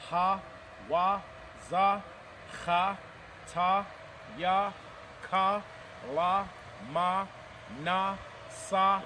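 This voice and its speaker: Student guy w a high bright voice, reciting real slow, faint noise behind. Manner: recites the alphabet slowly, letter by letter.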